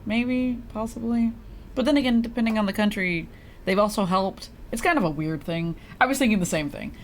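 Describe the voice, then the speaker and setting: A woman's voice: mid-range voice, fairly quick, a little hiss or hum. A woman doing casual, chatty live gameplay commentary as a streamer, giggly and free with swearing. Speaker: game streamer.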